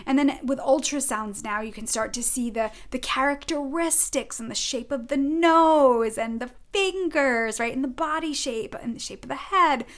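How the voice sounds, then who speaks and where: A middle-aged woman with a Rhode Island accent talks viewers through a weekly oracle card reading. Her voice sits a little on the high side, and she sounds earnest and effusive. She sometimes drifts into soft, emphatic phrases. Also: normal speed, few pauses. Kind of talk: informal spiritual guidance monologue.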